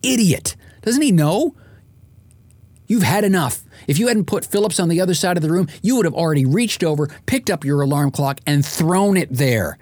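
A man's voice: on the high side, lively intonation, moderate pace, a little mellow, no echo. A middle-aged man reading an audiobook in the first person, with wry, dramatic comic emphasis. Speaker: author, podcast host and morning radio presenter.

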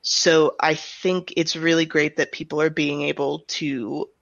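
Creaky-voiced woman, reflective, slightly muffled recording. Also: deep voice, level tone, unhurried, dry recording. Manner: reflective, explanatory, softens statements with "kind of". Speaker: audio drama director and writer being interviewed. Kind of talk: thoughtful interview talk.

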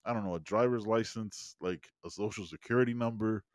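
A man's voice: lowish voice, fairly quick, no room sound, studio quality. A man co-hosting a casual show review, telling stories animatedly and often asking "you know what I mean". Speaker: podcast co-host.

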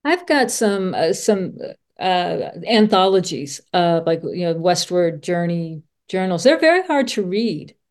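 A woman's voice: lowish voice, sing-song, measured pace, a little mellow. A woman hosting a podcast interview, speaking informally and searching for words as she repeats herself. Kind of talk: conversational interview.

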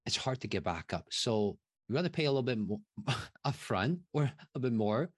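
A man's voice: mid-range voice, animated, normal speed, slightly rough, no echo, studio quality. A man speaking casually and emphatically as a podcast guest, often checking in with tag questions. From Taiwan. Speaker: interview guest, real estate investor and mentor.

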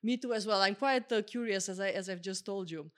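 A woman's voice: mid-range voice, brisk, a bit bright, no echo. Woman podcast host, upbeat and courteous, dry studio sound. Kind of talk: scripted intro, then interview questions.

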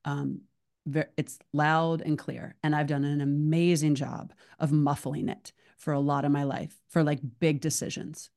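A woman with a low voice hosts a podcast in easy conversation, chatty and prone to rambling tangents. Her speech is loose and enthusiastic, full of asides. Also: flat delivery, unhurried, a little mellow. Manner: chatty, rambling, self-described tangent talker. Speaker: podcast host.

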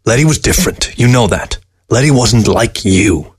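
A man voicing a weary, grumbling old taxidermist in a story, gruff and defensive in manner. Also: fairly low pitch, animated, normal speed.

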